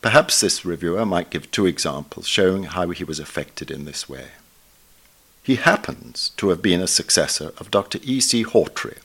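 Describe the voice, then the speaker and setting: A man in his seventies with an upper-class English accent, reading a scripted book review in a formal, emphatic, erudite manner. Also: sits low.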